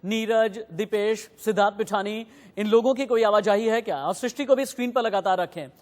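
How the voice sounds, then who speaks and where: A man's voice: high-pitched, a little fast. A male news anchor with an insistent, emphatic delivery, directing a live broadcast. He repeats his demands and questions firmly. The sound carries a long reverb.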